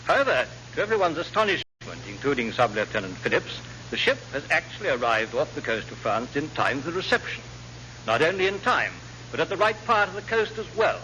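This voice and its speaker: A man's voice: on the high side, very animated, brisk, bright, forceful tone. A British man giving wry scripted narration as the narrator of a classic radio comedy. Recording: dull, noisy old radio recording.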